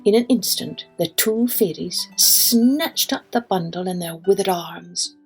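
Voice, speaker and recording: Slow-paced woman telling a children's story. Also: on the low side, faint music behind. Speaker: storyteller, podcast host.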